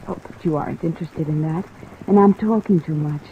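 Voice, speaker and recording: An actress playing a friendly, curious young club singer in a 1950s radio drama, with a direct, inquisitive manner. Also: female voice, low-pitched, brisk, close to an everyday voice. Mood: confiding. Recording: tinny vintage radio, steady rain noise.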